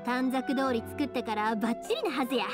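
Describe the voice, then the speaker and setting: Woman voicing cheerful anime game girl, grown up but youthful, high voice, kansai accent, chatty and animated, bit of music behind. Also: a little fast, lightly acted. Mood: grateful, earnest. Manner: talkative, friendly, animated. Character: cheerful chatty young woman, fireworks maker. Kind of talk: anime video game voice acting.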